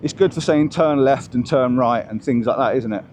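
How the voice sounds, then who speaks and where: A man's voice: mid-range voice, normal speed, darkish tone, a little hiss or hum. A man casually demoing and testing gear for his vlog, thinking aloud as he goes. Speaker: vlogger reviewing gear. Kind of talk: casual product demo. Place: outdoors on a bike, then indoors.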